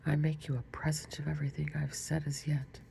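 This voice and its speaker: A woman's voice: sits low, completely flat delivery, brisk, creaky, mellow, slight background noise. A woman voicing a preachy, self-pleased duchess in a whisper. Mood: smug.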